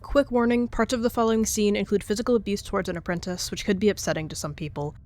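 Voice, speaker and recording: A woman narrates a scripted animal fantasy tale. Her voice sits at a medium pitch and she reads in prose storytelling style. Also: steady tone, quick pace, a little mellow. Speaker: narrator and roleplayer.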